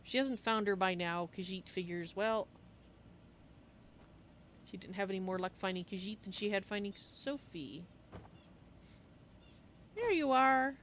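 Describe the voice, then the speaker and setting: A woman's voice: mid-range voice, a little slow, pauses often, fairly bright tone, slight background noise. A woman playfully musing aloud as she narrates her video game roleplay in character.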